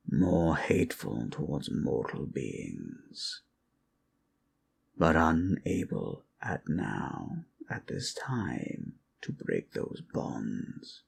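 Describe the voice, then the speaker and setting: A man voicing an ancient, weary undead lich who tells history in a hushed, solemn, gravelly voice for an ASMR roleplay. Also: low voice, slight background noise, exaggerated voice. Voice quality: gravelly, creaky. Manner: measured, hushed, solemn, with long pauses. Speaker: storyteller. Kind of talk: ASMR roleplay, slow improvised fantasy history lesson.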